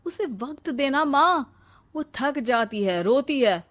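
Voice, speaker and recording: A woman's voice: high-pitched, lively intonation, takes it slowly, a bit bright, pitched above the performer's own voice, exaggerated voice. A woman voicing a protective young married son in a cartoon story, earnest and protesting. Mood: protesting, pleading. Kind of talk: cartoon moral-story acting.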